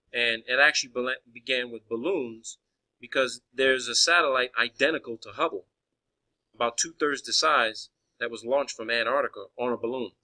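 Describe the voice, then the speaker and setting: A man with a strikingly bright, tense voice at an ordinary male pitch. He talks like a caller in an argumentative phone conversation. He is insistent, often doubling back to repeat or restart a phrase. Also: poor audio. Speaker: caller questioning a space agency official.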